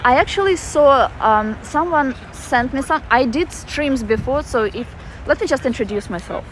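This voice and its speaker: A woman's voice: high voice, expressive, moderate pace, low-quality recording. Russian-accented woman livestreaming on a city walk, chatty and loose, faint traffic behind her. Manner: chatty, reads viewer comments aloud with fillers. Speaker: walking livestreamer. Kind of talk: casual live-stream chat reading comments. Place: outdoors in a city park.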